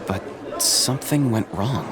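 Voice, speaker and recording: A man's voice: on the low side, expressive, unhurried. A man voicing a stern, scornful but level-headed warrior who speaks curtly and with composure in video game dialogue. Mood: grave explanation. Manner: curt, composed. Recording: loud music and crowd behind voice.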